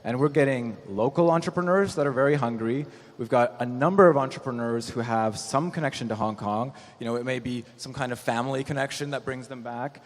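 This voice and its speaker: A man speaking as an angel investor on a conference panel, explaining his points in a measured way with frequent "you know". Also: medium pitch. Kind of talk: panel discussion, explanatory talk. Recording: echoey hall with long reverb.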